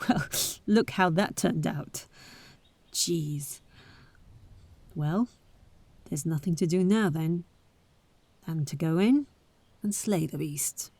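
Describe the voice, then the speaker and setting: Woman doing brave caring adventurer lady, audio roleplay, takes her time, breathy hesitant bits, really dry sound. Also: lowish voice, dark tone, no echo, exaggerated voice. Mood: sober, resolved. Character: bold adventurer heiress, adult woman, caring and wary. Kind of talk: audio roleplay acting addressed to the listener.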